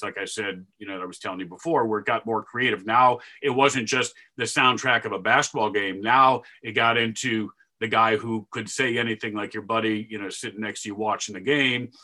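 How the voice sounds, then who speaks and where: A man with a deep voice telling stories in an interview, animated and now and then bursting into loud sportscaster calls. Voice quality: deeper voice. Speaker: video game announcer. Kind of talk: interview storytelling.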